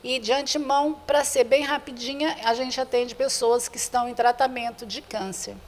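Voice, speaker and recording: An older Brazilian woman with a fairly high voice speaks as an interview guest. She is earnest and repeats her points, addressing viewers directly. The handheld mic picks up a noticeably reverberant room. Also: fairly even intonation, moderate pace. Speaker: association president, interview guest. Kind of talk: spoken interview inviting the public to a charity event. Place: indoor room with bare walls. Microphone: handheld reporter's microphone held before her.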